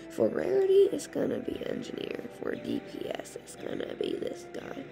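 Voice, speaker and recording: A woman with a raspy, hoarse voice rambles through casual gameplay commentary, filling gaps with ums and uhs. Her pitch swings wildly up and down, her delivery is slow, and the voice has heavy gravelly fry, with faint music behind her. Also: huge pitch swings. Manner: rambling, chatty, full of fillers. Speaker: gaming channel host.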